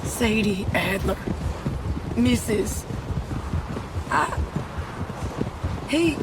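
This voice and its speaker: Halting, grieving young widow. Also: female voice, medium pitch, expressive, very noisy. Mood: grief-stricken. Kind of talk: cinematic western game acting.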